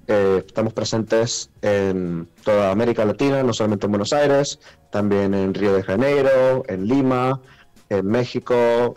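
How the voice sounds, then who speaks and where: A man's voice: mid-range voice, a little mellow, poor audio. A man speaking as a guest on a radio phone interview, explaining things as an event organiser. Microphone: telephone call. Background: music.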